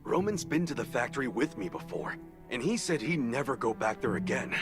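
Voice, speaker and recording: A man's voice: medium pitch, big pitch swings, a little fast, over music. A man voicing a weary male shelter patroller who gives matter-of-fact warnings. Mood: serious.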